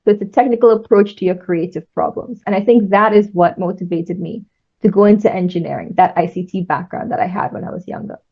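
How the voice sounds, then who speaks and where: A young woman from Trinidad, answering interview questions in a measured, thoughtful way. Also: on the low side, highs cut off. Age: young adult. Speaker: engineering student guest.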